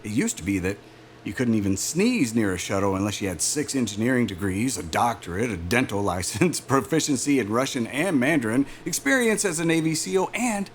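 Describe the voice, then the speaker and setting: Actor as a lively, polished aerospace executive. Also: male voice, mid-range voice, fairly quick, a little hiss or hum, natural voice. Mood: self-assured, explaining. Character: smooth-talking male aerospace executive selling a risky job, self-assured. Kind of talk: naturalistic audio drama dialogue.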